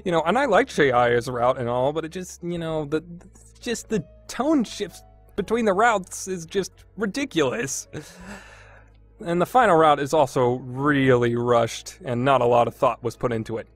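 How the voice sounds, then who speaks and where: A man's voice: a little high, expressive, normal speed, faint music behind. A man giving a casual, opinionated review as a podcast host, with a sarcastic, exasperated manner.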